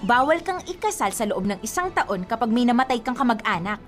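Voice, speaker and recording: Radio drama superstitious young fiancée: high voice, Filipino accent, stubborn, exasperated delivery over soft music. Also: female voice, sing-song, fairly quick. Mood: agitated, stubborn. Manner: scolding, insistent, exasperated. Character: superstitious, stubborn young bride-to-be, bossy and anxious.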